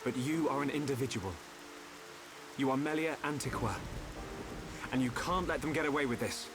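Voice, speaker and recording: A man playing an earnest, gentle but resolute young hero in a video game. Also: mid-range voice, moderate pace, background noise, lightly acted. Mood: supportive, resolute. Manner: earnest, sincere. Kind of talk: video game cutscene acting. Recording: music and rain behind dialogue.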